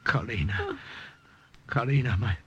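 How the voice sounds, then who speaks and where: An actor playing a captured American secret agent posing as an Italian soldier, halting and earnest in old radio style. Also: male voice, medium pitch, wildly swooping pitch, bright, forceful tone, breathy edge, lo-fi sound, exaggerated voice. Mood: tender, desperate. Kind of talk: 1940s radio acting. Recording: noisy, crackly old radio recording.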